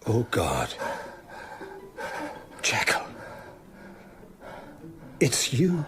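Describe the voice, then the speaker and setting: An actor playing an alarmed gentleman doctor in a gothic radio play. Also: medium pitch, wildly swooping pitch, extremely slow, background noise, exaggerated voice. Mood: aghast. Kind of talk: dramatic radio play acting.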